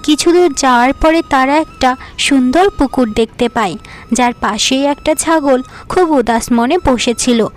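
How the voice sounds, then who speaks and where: A woman's voice: high voice, unhurried. A woman narrator reading a scripted fairy-tale cartoon story. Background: music.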